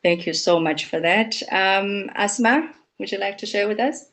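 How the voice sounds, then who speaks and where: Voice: medium pitch, moderate pace, fairly bright tone. English teacher on a video call, encouraging, says truly and mm-hmm a lot. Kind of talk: leading an online speaking class.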